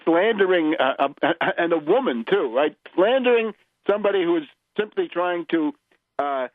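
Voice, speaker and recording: A man's voice: high-pitched, no echo. A man hosting a political radio show, delivering an emphatic, sardonic monologue and often checking his points with a clipped "right?". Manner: sardonic, scornful. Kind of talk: political commentary monologue, polemic. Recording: muffled lo-fi radio audio.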